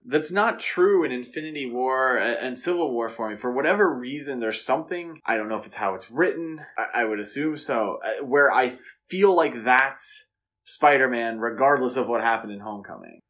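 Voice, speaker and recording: A man's voice: mid-range voice, moderate pace, fairly bright tone. Opinionated man chatting about films. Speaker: podcast co-host. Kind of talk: casual film discussion.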